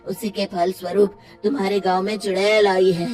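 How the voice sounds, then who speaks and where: A woman voicing a solemn older male village exorcist in a cartoon story, speaking in grave, slow pronouncements. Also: medium pitch, flat delivery, darkish tone, faint music behind, close to an everyday voice. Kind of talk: cartoon voice acting.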